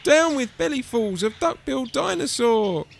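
Man voicing a high, plaintive little boy dinosaur. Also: animated, a little slow, gravelly, slight background noise, heavily acted. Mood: defiant hooting. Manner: hooting, plaintive. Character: small, timid, plaintive young male dinosaur.

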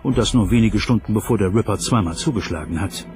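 A man's voice: fairly low pitch, quick pace, a little mellow, close to an everyday voice. A man voicing a male police detective in a documentary voice-over dub. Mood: measured, investigative. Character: male police detective investigating an old case. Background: background music.

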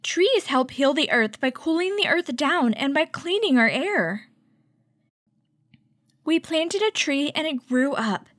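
A woman hosting a children's story time, reading a picture book aloud and teaching with clear, instructive delivery. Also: high-pitched, very animated, takes it slowly, fairly bright tone, dry recording, studio quality. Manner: clear, instructive, addressing young children with questions.

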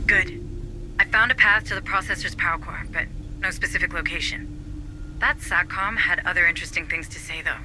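A man's voice: sits high, brisk, pushed and bright, low-quality recording. A man playing a serious tech officer in a video game, briefing marines in a matter-of-fact way. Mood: focused, helpful. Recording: faint background noise.

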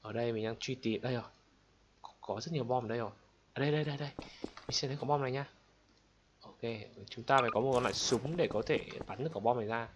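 A man hosting gameplay in an exclamatory, excited commentary style, addressing viewers like friends. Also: mid-range voice, quick pace, long pauses, lo-fi sound, background noise. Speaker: gaming video host. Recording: loud game gunfire and clicks behind. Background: game gunshots, explosions and clicks.

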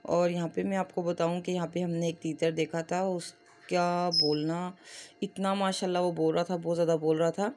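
A woman's voice: lowish voice, darkish tone. A woman with a Pakistani accent giving a casual, chatty vlog voice-over, explaining everyday family life in run-on storytelling. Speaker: family vlogger narrating her day.

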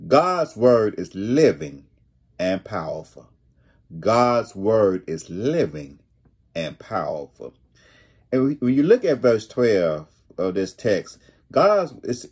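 Slow-paced preacher, middle-aged man. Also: fairly low pitch, darkish tone, slightly rough. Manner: deliberate, repeats key phrases for emphasis. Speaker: pastor. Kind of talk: sermon, read from notes. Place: small home room, indoors.

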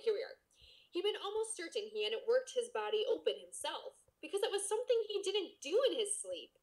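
A woman podcast co-host reading a story aloud casually, with playful, expressive banter. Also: fairly high pitch, low-quality recording.

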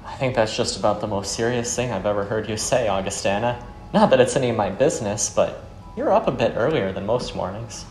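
A man playing a weary, brooding former soldier turned fisherman in a visual novel, speaking in a solemn, earnest, measured way. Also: on the low side, expressive, darkish tone, lightly acted. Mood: gentle. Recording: echoey room under music.

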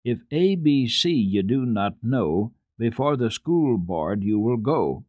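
A man voicing an earnest, kindly little girl who coaxes and recites in a measured sing-song for a storybook. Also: mid-range voice, very animated, no room sound, dull audio. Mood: patient, insistent.

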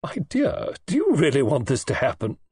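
A man's voice: fairly high pitch, huge pitch swings, moderate pace, dark tone, slightly rough, no room sound, clean studio sound, pitched above the performer's own voice, full character voice. A man reading an audiobook, voicing a robust, imperious elderly aristocratic woman who talks in a forthright, snappish way. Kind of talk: audiobook character voice.

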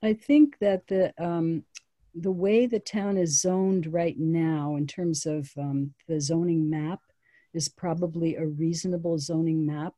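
A woman speaking as a town planning official at a public meeting over a video call, measured and pausing with frequent ums. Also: sits low, steady tone, mellow, no room sound.

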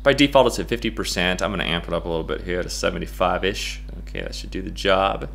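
A middle-aged American man giving a casual, playful software tutorial in a goofy, jokey way. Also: sits low, fast, creaky, a little hiss or hum. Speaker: photo-editing tutorial presenter.

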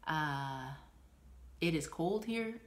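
A woman's voice: low-pitched, animated, very slow. A woman in her forties with a stuffy nose, chatting casually to camera at home about her week, rambling with ums and small laughs. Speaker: stitching vlogger. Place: small room at home, indoors. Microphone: camera in front at desk distance.

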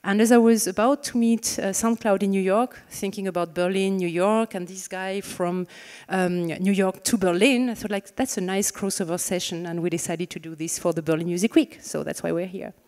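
A woman's voice: mid-range voice, fairly even intonation, normal speed, hardly any pauses, darkish tone. A woman in her thirties from France with a French accent, moderating a fireside chat on stage, chatty and joking with many fillers, speaking into a handheld mic. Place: conference stage in a large hall. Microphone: handheld microphone. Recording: reverberant hall sound.

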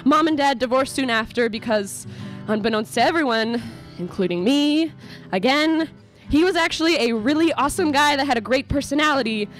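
A woman's voice: high-pitched, expressive, a little fast. A young woman playing an exasperated, sarcastic narrator in a comic student radio play. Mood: wry, fed up. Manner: sarcastic, melodramatic. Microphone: microphone on stand. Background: music.

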